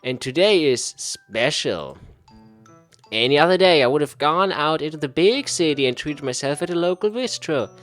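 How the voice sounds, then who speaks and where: An earnest office worker acted out by a man who treats lunch as high drama, savoring each phrase with a lively swing in pitch. Music plays behind the visual novel narration. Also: normal speed. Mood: proud, savoring. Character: earnest, food-obsessed office worker, wounded and indignant.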